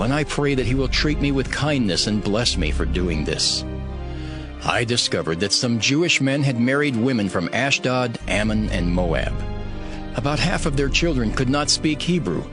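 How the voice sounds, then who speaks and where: A male actor as a zealous, devout governor telling his story in a dramatized audio Bible. His mid-pitched voice moves with lively, emphatic swings, earnest and stern. Music plays behind him. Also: close to an everyday voice. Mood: prayerful, then stern, resolute. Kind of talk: dramatized scripture narration.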